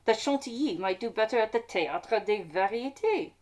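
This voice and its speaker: Mademoiselle Dupin, animated brilliant lady detective played by an actress. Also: on the high side, normal speed, lightly acted. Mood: confident deduction. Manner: precise, methodical, assured explaining. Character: brilliant, self-assured female amateur detective. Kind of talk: live radio drama acting.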